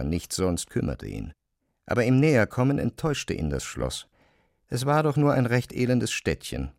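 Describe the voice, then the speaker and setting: Low, creaky male literary narrator. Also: dry recording, clean studio sound.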